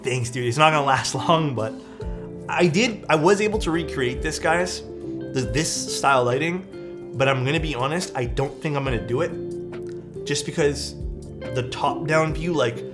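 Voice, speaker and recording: A young man, chatting casually as a livestream host, excitable and rambling with lots of "like" and "dude". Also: a little high, measured pace. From Canada. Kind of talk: casual livestream chat, reading chat messages. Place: his room at home. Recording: speech over background music. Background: background music.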